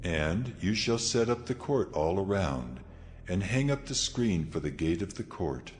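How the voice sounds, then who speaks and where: An actor voicing the Lord God in a dramatized scripture audio, giving solemn, commanding instructions in a declamatory manner. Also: male voice, low-pitched, very animated, a little slow, a little mellow, slight background noise.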